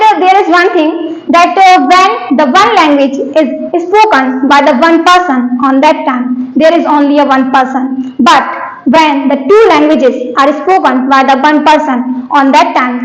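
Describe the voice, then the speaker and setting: A woman's voice: high-pitched, a little slow, roomy sound, rough recording. A young Indian woman, a native Hindi speaker, giving a prepared classroom speech with many hesitations and restarts. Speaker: student.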